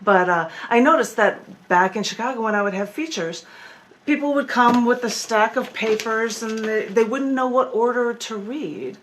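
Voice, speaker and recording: A woman's voice: on the high side, normal speed, fairly bright tone, a little hiss or hum, rough recording. A woman poet and performance artist talking and reading her work in a remote interview over a video call. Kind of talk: casual interview talk with poem readings.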